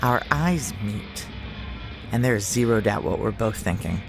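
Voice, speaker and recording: A woman's voice: very deep voice, animated, a little slow, gravelly, music in the background, full character voice. An actress playing a tough, profane female security chief, narrating and acting in a noir audio drama in a wry, blunt, conversational way. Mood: wry, intimate.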